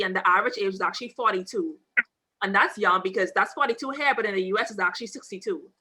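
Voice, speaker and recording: A young woman hosts a video-call chat from an armchair at home, her voice crisp and bright. She sounds upbeat and conversational, picked up by a webcam mic. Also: medium pitch, low-quality recording. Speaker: host. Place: indoors at home, seated in armchair.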